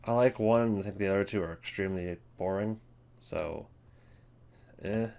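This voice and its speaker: Low-voiced man, casual and hesitant. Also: normal speed, creaky, a little mellow, a little hiss or hum. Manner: informal, hesitant, thinking aloud. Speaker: host ranking game voice lines. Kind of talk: casual commentary on a tier list.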